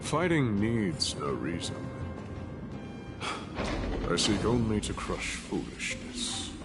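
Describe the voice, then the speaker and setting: Casting: stoic game warrior, very slow, gravelly low growl, loud music behind. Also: male voice, sing-song, heavily acted. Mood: stern, terse. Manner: slow, curt. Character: gruff, terse, stoic male warrior. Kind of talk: fantasy game voice acting.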